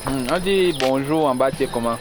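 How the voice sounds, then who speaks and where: A man's voice: medium pitch, normal speed. A man patiently explaining crops to visitors, recorded outdoors in a village field. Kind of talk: casual explaining.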